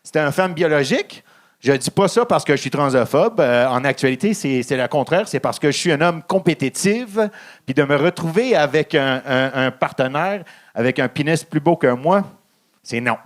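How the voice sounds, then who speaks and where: Anglophone man with Quebec accent, halting. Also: on the high side. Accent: English-speaking Quebecer speaking French. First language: English. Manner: halting with many ums, deadpan dark jokes. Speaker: stand-up comedian. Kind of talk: stand-up set.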